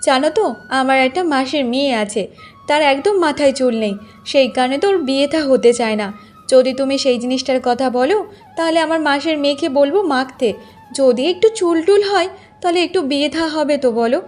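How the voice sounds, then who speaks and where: A woman's voice: high-pitched, fairly quick, darkish tone, lightly acted. A woman voicing a vain, bossy young village wife in a cartoon, demanding and boastful as she nags. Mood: coaxing. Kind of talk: Bengali cartoon voice acting. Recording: music bed under the voice.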